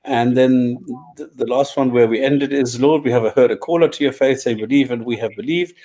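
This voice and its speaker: Casting: male co-host, mid-pitched, measured, given to repeating himself while he clarifies. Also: dark tone, rough recording. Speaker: podcast co-host. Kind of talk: casual discussion, reading translations.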